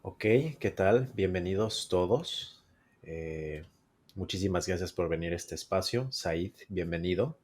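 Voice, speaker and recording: Deep, husky-voiced man hosting. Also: unhurried, a little mellow. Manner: brief acknowledgements, friendly questions. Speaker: podcast host. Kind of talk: scripted intro and casual interview.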